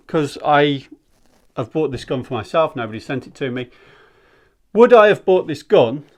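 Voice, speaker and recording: A man giving a casual, candid product review in a conversational, rambling way. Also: medium pitch. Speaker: airsoft gear reviewer.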